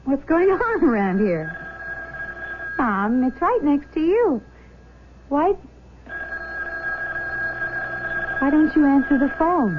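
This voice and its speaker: High-pitched, breezy young woman. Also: big pitch swings, a little slow, noisy background. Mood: puzzled, insistent. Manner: bubbly, slangy, teasing. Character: breezy, excited young daughter, newly engaged. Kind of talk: 1970s radio drama acting. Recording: thin phone-like old radio broadcast.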